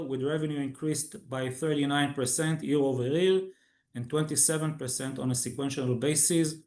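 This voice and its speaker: A man who speaks English as a second language, formally reading a scripted financial results presentation as a company executive, with halting pauses. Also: fairly high pitch, a little mellow, echoey room. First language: not English. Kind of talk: scripted earnings call presentation.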